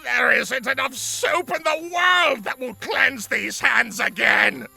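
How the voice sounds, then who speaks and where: A woman voicing an indignant, brutish male centaur villain with a gravelly, strained voice in a story reading. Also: sits high, takes it slowly, bright, forceful tone, faint music behind, pitched above the performer's own voice, exaggerated voice. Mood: disgusted, furious.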